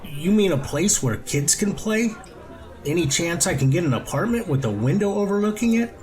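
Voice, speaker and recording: A man's voice: high voice, lively intonation, normal speed, darkish tone, pitched above the performer's own voice, rough recording. A man voicing a creepy, oddly earnest male karaoke singer in a storybook reading, with an overwrought manner. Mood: awkwardly eager.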